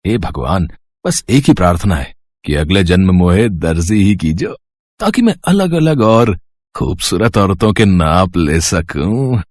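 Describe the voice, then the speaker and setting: A man's voice: low-pitched, unhurried, no echo, heavily acted. A man playing a lecherous, boastful village tailor in an audio story, with an oily, leering manner. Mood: dreamy, lustful. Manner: oily, leering, exaggerated flattery. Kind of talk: Hindi audio story character acting.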